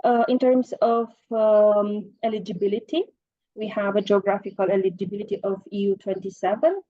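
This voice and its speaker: A woman's voice: medium pitch, little pitch movement, normal speed, no echo. A woman in her forties presenting a program in a webinar, listing details with frequent fillers over a video call. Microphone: video call, laptop mic. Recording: slightly muffled dry video call audio.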